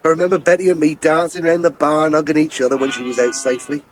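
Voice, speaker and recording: An actor playing a plain-spoken, wryly blunt farmer in a radio soap. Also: male voice, on the high side, flat delivery, fast talker, natural voice. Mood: fond, wistful. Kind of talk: naturalistic radio soap acting.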